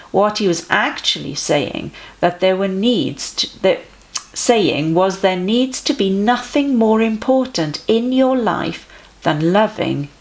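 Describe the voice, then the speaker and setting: A woman reading a children's storybook aloud in an expressive, animated way with dramatic emphasis. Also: mid-range voice. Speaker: children's story reader for a church.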